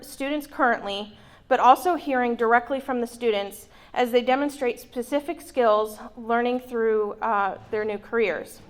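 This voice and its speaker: A woman giving an earnest prepared speech about a local leadership program she completed. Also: fairly high pitch. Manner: earnest, read-from-notes delivery. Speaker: program graduate and committee member. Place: event room at a college.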